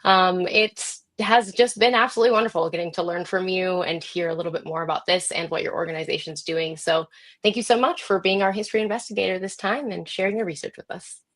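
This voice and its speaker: Informal, affirming woman podcast host, slightly low voice. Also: fairly even intonation, fast, fairly bright tone. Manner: informal, effusively appreciative, affirming. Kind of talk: casual conversational interview hosting.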